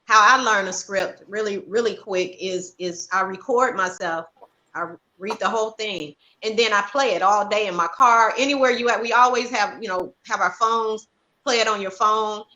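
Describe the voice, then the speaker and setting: A woman with a bright, punchy voice at an average pitch. She is a panel guest handing out practical tips, chatting informally and colloquially. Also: normal speed, low-quality recording. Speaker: guest, stage play writer-director. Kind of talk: casual chat, sharing practical tips.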